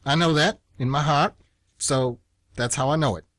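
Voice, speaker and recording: A man's voice: a little high, moderate pace, fairly bright tone, no echo, lightly acted. A man acting a stubborn, lovesick young man in a radio play, terse, defensive and earnest. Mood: pleading, awkward. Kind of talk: stage-play dialogue read as radio theatre.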